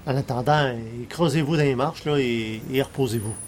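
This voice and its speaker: Actor as a mid-pitched, hesitant, earnest man. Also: moderate pace, slight background noise, lightly acted. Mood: instructing. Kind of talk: comedy radio play acting.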